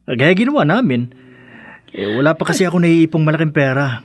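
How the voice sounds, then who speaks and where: An actor playing an earnest, devoted young husband and dutiful son, placating and hesitant, in a radio drama. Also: male voice, a little high, animated, a little fast, no room sound. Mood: nervous, placating. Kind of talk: radio drama acting in Tagalog.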